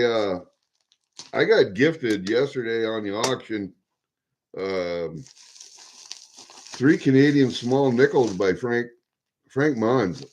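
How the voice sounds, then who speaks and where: A man in his sixties from Iowa with a Midwestern American accent, chatting to viewers in a rambling, halting, blunt and salty way. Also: medium pitch, a little mellow. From Iowa, USA. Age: 60s. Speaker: vlogger. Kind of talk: rambling casual chat to viewers. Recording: dull lo-fi home recording.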